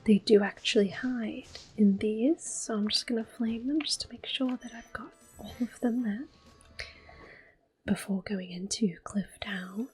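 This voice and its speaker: Slow, whispering woman doing ASMR commentary. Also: a little high, husky, poor audio. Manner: soft-spoken, often whispering. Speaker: host of an ASMR gameplay series. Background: game music.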